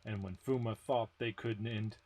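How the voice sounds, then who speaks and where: A man's voice: fairly low pitch, fairly even intonation, normal speed, a little mellow. A man narrating a game story as an amateur dramatic reading, with informal self-deprecating asides.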